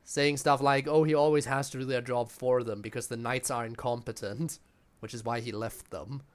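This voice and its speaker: A man's voice: mid-range voice, fairly even intonation, normal speed. A man giving casual live game commentary in a blunt, dry manner. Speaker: game streamer.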